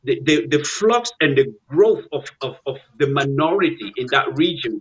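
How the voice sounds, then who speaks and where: A man hosting a talk show, speaking haltingly with many fillers and restarts. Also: a little high, lively intonation, fairly bright tone. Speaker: show host. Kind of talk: interview chat. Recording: dull lo-fi sound.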